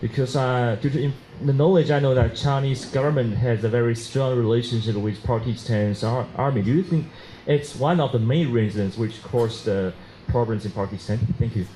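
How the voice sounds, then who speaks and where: A man's voice: mid-range voice, moderate pace, mellow, slight background noise, rough recording. A man in the audience asks a question hesitantly, searching for his words. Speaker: audience member asking a question. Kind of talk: question from the floor. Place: conference hall.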